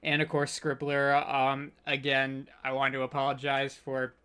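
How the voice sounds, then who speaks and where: A man hosting an informal online panel, speaking in a halting, scattered and hesitant way. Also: mid-range voice, completely flat delivery, sharp tone, clear, resonant tone. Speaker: panel host.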